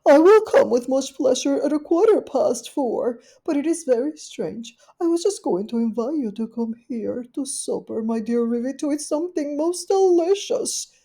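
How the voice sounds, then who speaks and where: A fussy, anxious little lady dog from a storybook, as a woman reader puts her on, fretting aloud to herself in a raised, lilting voice with a changed, lighter tone. She sounds polite but worried, with a soft, mellow edge. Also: sits high, animated, normal speed, hardly any pauses, voice pushed up. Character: fretful, scheming genteel little female dog. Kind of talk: storybook character voice.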